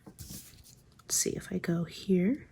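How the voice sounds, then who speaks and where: Woman vlogger with a slight cold, gravelly and a bit low, casual desk chat that drifts into whispers. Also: takes it slowly, noisy background. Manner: chatty, thinking aloud, trailing into whispers. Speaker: planner vlogger. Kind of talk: casual craft chat while planning.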